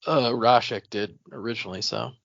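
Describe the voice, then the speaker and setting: A man's voice: medium pitch, normal speed, vocal fry, fairly bright tone, dry recording. A man chats casually as a podcast co-host. His voice is in a middle range. The recording is dry and slightly dull. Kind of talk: casual chat.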